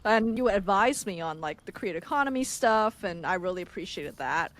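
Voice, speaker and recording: Brief: woman co-host, very lively intonation, laughs a lot, casual and reflective. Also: medium pitch, brisk. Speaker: podcast co-host. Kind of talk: casual chat.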